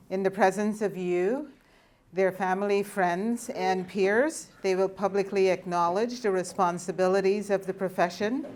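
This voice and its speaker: A woman's voice: medium pitch, slight background noise. Woman leading a formal pledge. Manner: measured, deliberate recitation. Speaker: ceremony speaker leading a pledge. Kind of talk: formal ceremony address.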